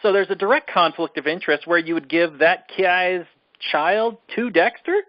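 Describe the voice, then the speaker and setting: A man's voice: high-pitched, moderate pace, no echo, lo-fi sound. A man co-hosting a casual film discussion, ranting in an exasperated, emphatic way and repeating himself for emphasis. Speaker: podcast co-host reviewing films.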